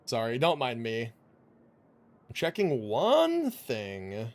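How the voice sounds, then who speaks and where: A man's voice: mid-range voice, huge pitch swings, very slow. A man hosting a gaming livestream, chatting casually in a slangy, rambling way with some swearing. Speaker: livestream host. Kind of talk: casual gaming stream chat. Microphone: streaming microphone.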